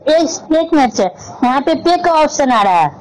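A woman's voice: high-pitched, very animated, normal speed, a little mellow. A woman on a recorded phone call, replying briefly and hesitantly, stalling and echoing back what she is told. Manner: stalling, echoes back instructions. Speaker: person on the phone. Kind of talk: hesitant, short phone replies.

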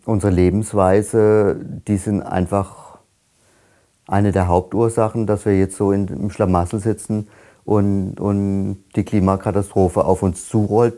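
Older man, 60s, interview, low warm voice, thoughtful. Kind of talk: recorded interview. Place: dim indoor set.

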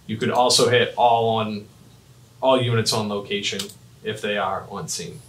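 A man in his thirties giving a step-by-step software demonstration in short, clipped instructional phrases. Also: lowish voice, fairly even intonation, normal speed, slight background noise. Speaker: fire dispatch trainer. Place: small indoor office at a computer desk. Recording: roomy, echoing, mic at a distance.

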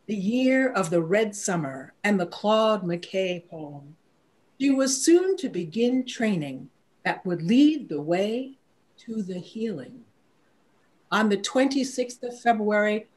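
An older woman reciting poetry in a measured, declamatory manner. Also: medium pitch, a little mellow, low-quality recording. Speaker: poetry reader.

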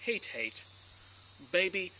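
Man playing a slow, pompous dramatic reciter outdoors. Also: sits high, big pitch swings, heavily acted. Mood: declaiming, intense. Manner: theatrical, halting, deliberate, with long pauses. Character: pompous dramatic orator solemnly declaiming pop lyrics. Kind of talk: mock-serious dramatic spoken recitation. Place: outdoors in leafy woods. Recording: outdoors with loud insect and woodland noise.

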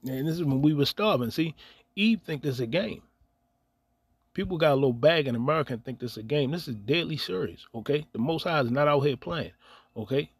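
A man's voice: on the high side, animated, fairly quick, breathy edge, no room sound. A man giving casual religious commentary on the news, reading scripture aloud and tagging nearly every point with "okay?". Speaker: online preacher commenting on news. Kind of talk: casual religious commentary over screen recording.

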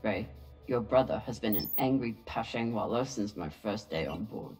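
An actor playing a steady, dry, matter-of-fact spaceship captain in a video game. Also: male voice, lowish voice, normal speed, soft background music, close to an everyday voice. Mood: concerned.

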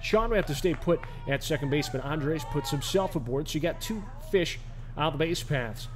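Upbeat sports-network man, slightly high voice. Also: moderate pace, over music. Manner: energetic, builds to shouts on big plays. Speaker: sports network host and play-by-play narrator. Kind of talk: highlight narration and postgame interview. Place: outdoors on a lit baseball field at night. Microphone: handheld mic. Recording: outdoor field noise behind.

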